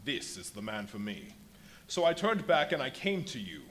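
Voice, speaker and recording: A desperate invisible man, domineering and theatrical. His delivery swings dramatically in pitch as he commands and threatens, in a live radio play with light background noise. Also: very animated, normal speed, a bit bright. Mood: brooding, intense. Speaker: stage radio play actor. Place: live performance at a brewery venue. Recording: noisy live stage recording with ambience.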